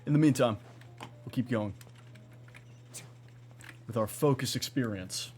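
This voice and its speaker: A man's voice: medium pitch, measured pace, a little mellow. A man giving casual live gameplay commentary as a streamer, musing aloud in a rambling way. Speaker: game streamer.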